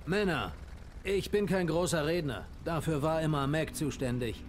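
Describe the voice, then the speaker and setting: A man's voice: fairly high pitch, normal speed, a little hiss or hum, natural voice. A man playing an earnest, plain-spoken young army sergeant who commands his squad in a war game. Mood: earnest, steady. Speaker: squad leader. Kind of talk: dubbed war game dialogue.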